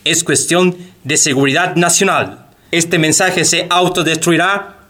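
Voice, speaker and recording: Creepy official censor in a radio drama, cold threats, voice a bit high. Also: male voice, steady tone, unhurried, bright, forceful tone. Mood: coldly threatening. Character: menacing male government censor making threats.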